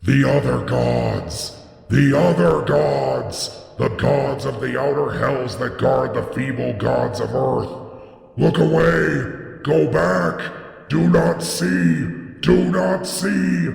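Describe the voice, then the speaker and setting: Man acting a grandiose, loud prophet in echoing hall. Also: mid-range voice, animated, slow, creaky, voice pushed up, heavily acted. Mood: screaming terror. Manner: grandiose, shouting, declaiming. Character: Barzai, arrogant old prophet crying out in mad ecstasy. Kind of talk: dramatic gothic horror storytelling. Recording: echoey hall with long reverb.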